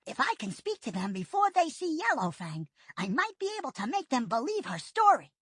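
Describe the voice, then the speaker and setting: A woman's voice: high voice, wildly swooping pitch, brisk, fairly bright tone, slightly rough, no room sound, studio quality, voice pushed up, full character voice. A woman voicing an eager young tomcat apprentice, earnest and urgent in a storybook reading.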